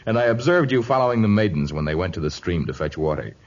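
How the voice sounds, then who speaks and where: A man's voice: low-pitched, sing-song, quick pace. An actor playing a commanding, fatherly jungle hero with formal, declamatory phrasing, in a 1950s radio drama on an old muffled recording. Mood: firm, knowing. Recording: dull vintage radio recording.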